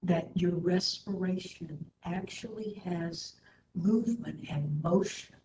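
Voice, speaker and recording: A woman instructor leading a guided meditation in a soothing, gentle manner, with hushed phrase endings. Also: on the low side, slow. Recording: roomy echo, faint background noise.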